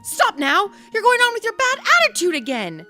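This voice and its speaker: A woman's voice: extremely high pitch, wildly swooping pitch, normal speed, bright, forceful tone, faint music behind, exaggerated voice. A woman playing a spiteful, bossy, melodramatic village aunt in a comic audio drama, stagey and reproachful. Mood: scolding.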